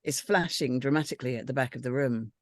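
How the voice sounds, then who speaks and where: A woman's voice: a bass voice, fast talker, dry recording. Woman reading screenplay directions, dry sound. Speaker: narrator reading stage directions. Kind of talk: scripted screenplay narration.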